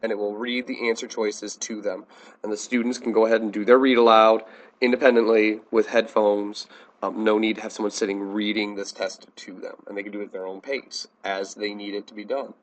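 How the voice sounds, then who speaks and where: An American man teaching a software tutorial in a plain, step-by-step instructional manner. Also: on the low side, little pitch movement, fairly quick. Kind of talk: instructional screencast narration.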